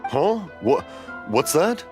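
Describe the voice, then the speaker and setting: An actor playing a cheerful, hard-of-hearing old fireworks maker who rambles into stories and mishears. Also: male voice, a little high, big pitch swings, slow pace. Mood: mishearing. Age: older. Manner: rambling storyteller, mishears, laughs warmly. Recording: game audio with music behind.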